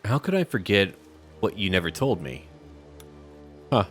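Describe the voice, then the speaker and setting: A man's voice: sits low, big pitch swings, a little slow, fairly bright tone, faint music behind. A man doing casual video game commentary, thinking aloud and talking to his viewers.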